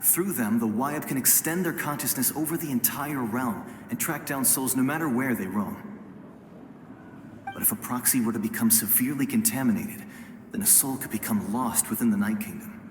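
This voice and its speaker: A man voicing a calm, knowledgeable spirit-realm guide in a video game, explaining in a measured way. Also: medium pitch, natural voice. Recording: echoey long reverb, background noise.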